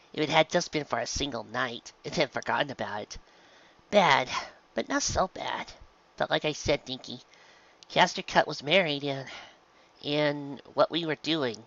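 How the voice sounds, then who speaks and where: A man voicing a gentle, guilt-ridden adult pegasus mare, a mother who talks softly and haltingly in a fan fiction audiobook. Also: mid-range voice, creaky, muffled recording. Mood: awkward, regretful. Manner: halting, soft, apologetic.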